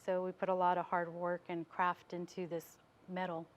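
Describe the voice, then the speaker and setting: Even-toned, low American woman, slightly muffled. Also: normal speed. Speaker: interview guest, business owner. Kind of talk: casual interview explanation. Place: TV studio.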